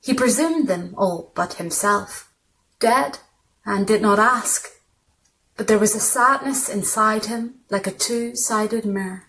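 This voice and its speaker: A woman's voice: medium pitch, slow, a bit bright. Woman reading short literary stories, noisy recording. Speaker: story reader. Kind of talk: literary short story narration, read aloud.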